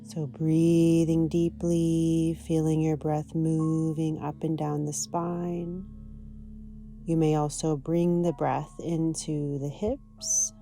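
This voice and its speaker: A woman with a low-pitched voice leads a guided yoga and meditation session. Her tone is soft and dark, and her delivery is gentle and unhurried. Faint guitar music plays behind her. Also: flat delivery. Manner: gentle, soothing, unhurried guidance. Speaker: yoga teacher.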